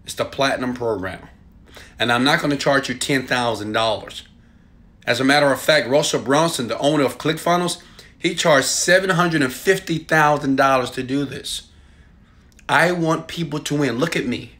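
A man in his thirties, filmed on a phone held at arm's length in a small room at home, giving a hyped and preachy sales pitch with loud bursts and quieter asides. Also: normal speed. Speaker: online marketing coach. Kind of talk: selfie video sales pitch.

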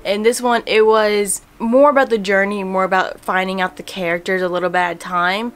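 A young woman in a casual book vlog, talking in a chatty, relaxed way with many fillers, recorded at home by a camera at desk distance. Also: medium pitch, fairly quick. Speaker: book vlogger. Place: home room in front of bookshelves.

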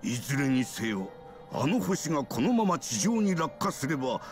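Lively, high-pitched old sage. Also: male voice, moderate pace. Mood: grave, resolute. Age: older. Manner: old-fashioned elderly turns of phrase. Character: stout old grandfatherly man, sage and gruffly scolding. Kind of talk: Japanese fantasy game voice acting. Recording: orchestral game music behind.

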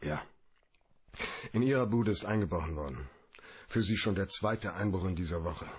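An actor playing a hard-boiled, wry private detective in a noir radio drama, with a gravelly, laconic delivery. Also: male voice, low-pitched, dry recording. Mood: casual, probing. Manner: laconic, dry, slow.

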